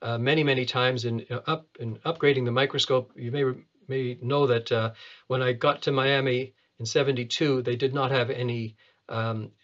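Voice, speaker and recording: An elderly man from Canada recalls his medical career in an interview. He speaks at a middling pitch, halting often and repeating words. The sound is a little dull, as from a video call in an office. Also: steady tone, fairly bright tone. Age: 70s. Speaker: retina surgeon, interviewee. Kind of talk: reminiscing in an interview.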